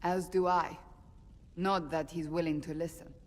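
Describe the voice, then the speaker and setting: A principled warrior woman played by an actress speaks in a somewhat low voice with a firm, matter-of-fact formality. She is blunt and stern, in game-drama style, and the sound carries a long reverb. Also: steady tone, a little slow, natural voice. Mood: grave, persuasive.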